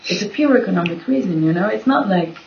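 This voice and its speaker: A woman from Belgium talks through her ideas in a relaxed group discussion, pausing and restarting as she searches for words. Her voice has a gravelly edge, and the recording sounds dull and lo-fi, with faint noise underneath. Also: medium pitch. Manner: searching, restarts often, lots of "you know". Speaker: discussion participant.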